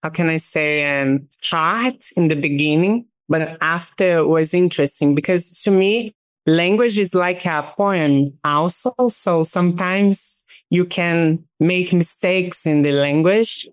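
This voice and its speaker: Artist guest, woman, halting English, low and clear. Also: dry recording. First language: Portuguese. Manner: halting, searches for English words.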